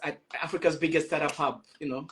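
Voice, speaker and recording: A man's voice: fairly high pitch, steady tone, fast, fairly bright tone, slight background noise. A man hosting a casual online chat, speaking informally with playful, teasing banter. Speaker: host of an online panel chat.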